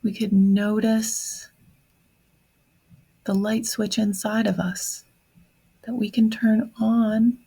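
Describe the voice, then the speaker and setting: A woman leading a guided meditation in a soft, breathy voice, speaking gently in short phrases with long pauses. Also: medium pitch, flat delivery, low-quality recording. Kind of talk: guided meditation on grief, slow and reflective.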